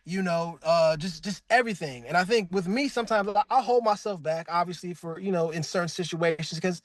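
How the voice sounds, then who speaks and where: A man who voices a cartoon character, talking as a guest in a casual interview. He gushes loosely, with plenty of "like" and restarts. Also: a little fast. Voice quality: calls his own voice really deep. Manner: loose, effusive talk full of "like" and restarts. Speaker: voice actor guest.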